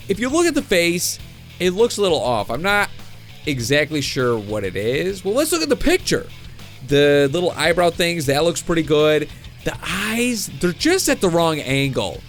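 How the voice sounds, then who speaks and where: A young man hosting a gameplay video, musing uncertainly and chuckling often. He is getting over a cold, so his voice sounds a bit off. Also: fairly high pitch. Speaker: gaming video host. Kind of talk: casual gameplay commentary. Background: game music.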